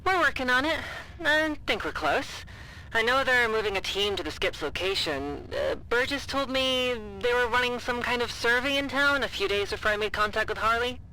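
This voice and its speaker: A woman's voice: on the high side, expressive, normal speed, sharp tone, slight background noise, rough recording. A woman playing a chatty, caring communications officer in an audio drama, casual and a little hesitant, with joking asides. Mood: sheepish, eager. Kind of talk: naturalistic audio drama dialogue.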